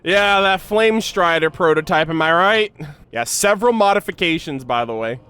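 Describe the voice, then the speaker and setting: A woman's voice: on the low side, animated, normal speed, fairly bright tone, smooth, no room sound. A woman giving casual, effusive live reaction commentary as a game streamer, slangy and playfully emphatic. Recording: background music.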